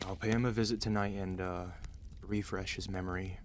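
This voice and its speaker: Man acting as weary mafia boss husband, low voice, commanding yet gentle, dry, intimate ASMR roleplay, audible background noise. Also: fairly even intonation, brisk, natural voice. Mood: stern, businesslike. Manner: tired, authoritative, dry, intimate.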